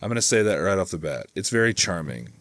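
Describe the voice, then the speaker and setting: A man's voice: deep voice, big pitch swings, quick pace, fairly bright tone, faint music behind. A man giving casual, rambling and enthusiastic reaction commentary as a video host, swearing freely. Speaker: video host reviewing horror channels.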